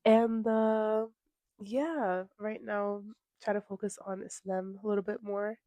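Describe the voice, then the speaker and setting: A woman's voice: mid-range voice, fairly even intonation, slow pace, mellow, dry recording. Playful young woman with sore throat. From New York. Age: 20s. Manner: playful, laughs often, teasing. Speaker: podcast co-host. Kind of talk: casual chat. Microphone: small desk mic.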